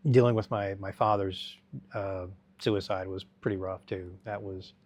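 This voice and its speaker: A middle-aged man telling his life story as an interview guest, halting and rambling with many restarts. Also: fairly low pitch, dry recording.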